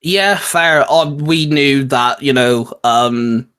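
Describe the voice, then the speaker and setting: A man's voice: mid-range voice, slow pace, a bit bright, clear, resonant tone. Casual man hosting a chatty discussion. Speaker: host. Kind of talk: casual video chat and intro.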